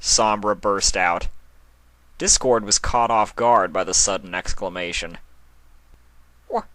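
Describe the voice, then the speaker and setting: Man, fairly deep voice, story narrator, scripted reading, close dry sound. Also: unhurried, fairly bright tone, no room sound.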